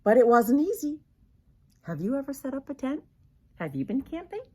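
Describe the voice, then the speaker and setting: A woman's voice: high voice, sing-song, normal speed, warm tone. An older woman reading a children's picture book aloud expressively, as a grandmother would, with questions to the young listener.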